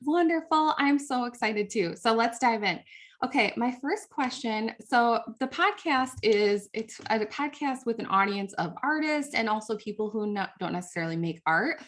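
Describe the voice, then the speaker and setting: A woman's voice: fairly high pitch, expressive, normal speed, fairly bright tone. Upbeat woman hosting a podcast. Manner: enthusiastic, upbeat interviewer. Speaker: podcast host. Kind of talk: podcast interview.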